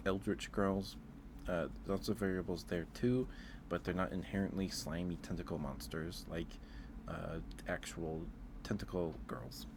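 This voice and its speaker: Male ASMR streamer, deep register, informal halting delivery, audibly noisy recording. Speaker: live streamer. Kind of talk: casual ASMR livestream chat.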